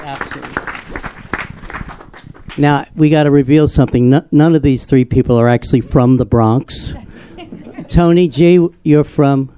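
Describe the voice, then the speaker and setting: A man's voice: mid-range voice, a little slow, pauses often, mellow, some chatter behind, slight background noise. A man hosting a live onstage panel, chatty and teasing, laughing with his guests. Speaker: host moderating a live panel. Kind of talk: lively onstage Q&A, joking. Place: live theater event.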